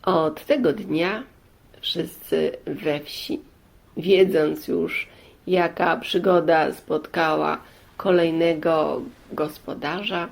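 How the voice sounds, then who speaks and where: A woman storyteller narrates a folk tale at a slow, deliberate pace. Her voice sits fairly low and has a gravelly creak. She leaves dramatic pauses as she goes. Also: fairly even intonation.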